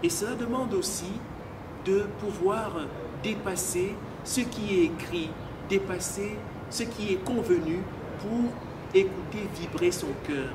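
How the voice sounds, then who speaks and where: A priest, a middle-aged man, speaks slowly and earnestly in a fairly high voice. He sits back from the mic outdoors, and loud traffic noise runs under his reflective words. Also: far from the mic. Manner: slow, earnest and meditative, building in intensity. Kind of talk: reflective personal testimony. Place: outdoors by a cathedral forecourt.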